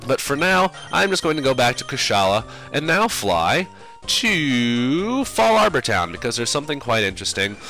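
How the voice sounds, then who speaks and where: A man's voice: medium pitch, sing-song, normal speed, sharp tone, soft background music. A young man with a cold, sounding sinusy, casually rambling through let's play commentary with lots of fillers. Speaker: game commentator.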